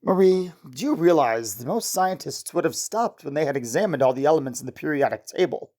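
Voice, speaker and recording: A woman playing an affectionate, proud scientist husband in an old-time radio drama, tender, encouraging and gently teasing. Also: low-pitched, lively intonation, fairly quick. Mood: encouraging, curious.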